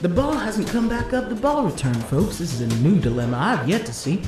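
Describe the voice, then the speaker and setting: An actor playing a showy, folksy 1950s bowling announcer in a theatrical radio-drama style. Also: male voice, high-pitched, sing-song, a little fast, roomy sound. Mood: folksy, wry. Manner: theatrical showman patter. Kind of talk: 1950s radio drama acting, sports commentary.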